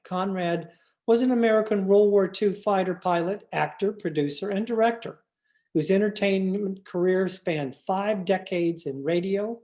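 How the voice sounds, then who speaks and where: A woman narrating a scripted radio show introduction as announcer, in a measured read-aloud delivery. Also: on the low side, warm tone.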